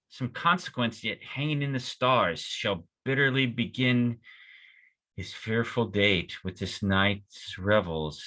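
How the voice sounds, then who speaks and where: A man's voice: fairly low pitch, sharp tone. An actor playing an earnest, foreboding young romantic man, declaiming verse and street slang in a dramatic table read. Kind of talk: dramatic table read of a modernized Shakespeare play. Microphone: video call.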